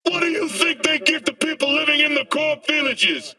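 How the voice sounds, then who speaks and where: An actor playing a fiery dystopian street preacher in a video game, ranting in an impassioned, declamatory way. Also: male voice, very high-pitched, lively intonation, moderate pace, pushed and bright, far from the mic, faint music behind, exaggerated voice. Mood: bitter, preaching. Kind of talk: dramatic video game monologue.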